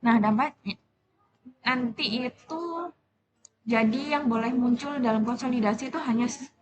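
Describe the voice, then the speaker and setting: A young adult woman giving an explanatory class presentation as a student, in a halting, self-correcting manner. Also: on the high side, noisy background. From Indonesia.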